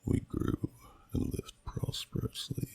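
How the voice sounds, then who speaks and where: A man voicing an ancient, solemn dragon who slowly tells a story in a deep, gravelly, breathy half-whisper, in ASMR style. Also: darkish tone, close to an everyday voice. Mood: wistful, reverent. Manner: slow, solemn, deliberate, archaic phrasing. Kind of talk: ASMR storytelling, scripted narration.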